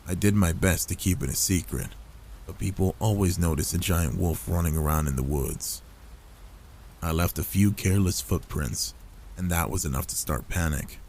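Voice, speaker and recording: A man voicing an older werewolf, a gruff but gentle protective loner, in a soft, intimate ASMR roleplay with a soothing, fatherly manner. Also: deep voice, slight background noise. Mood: reflective, matter-of-fact. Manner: soothing, paternal, unhurried reassurance.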